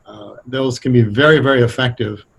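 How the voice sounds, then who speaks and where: Thoughtful, halting American man being interviewed. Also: medium pitch, steady tone, vocal fry, slight background noise. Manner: hesitant, thoughtful, halting delivery. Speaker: interview guest, radio playwright. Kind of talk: conversational explanation.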